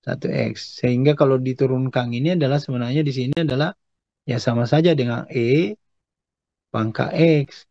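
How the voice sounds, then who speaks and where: A man's voice: mid-range voice, normal speed, gravelly, darkish tone. A man giving a step-by-step maths lesson as a lecturer, patiently explanatory and often checking that students follow. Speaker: mathematics lecturer. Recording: echoey room, dull muffled sound.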